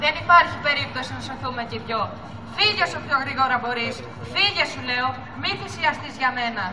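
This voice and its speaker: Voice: high-pitched, lively intonation, a crowd in the background. A teenager playing a protective young male sea turtle, urgent and commanding, in an amateur school puppet show. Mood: desperate, urgent. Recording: echoey hall, far from the mic.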